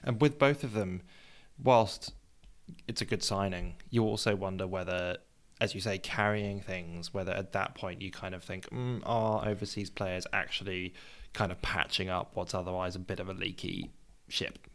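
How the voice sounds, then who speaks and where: A man's voice: low-pitched, steady tone, moderate pace. A man co-hosting a podcast, introducing items and posing questions in an informal, conversational style. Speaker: co-host of a cricket history podcast.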